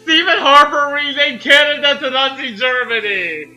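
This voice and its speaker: A man's voice: very high-pitched, normal speed, pushed and bright, muffled recording, soft background music. A man giving jokey, geeky asides and mock readings as a co-reader in a casual group reading. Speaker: co-reader and commentator. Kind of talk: casual joking commentary and mock read-aloud.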